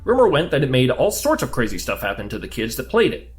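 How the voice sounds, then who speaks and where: A man's voice: mid-range voice, wildly swooping pitch, fast, faint music behind. A man playing a curious, talkative new government agent in an audio drama, conversational, questioning and easily flustered. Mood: earnest, questioning.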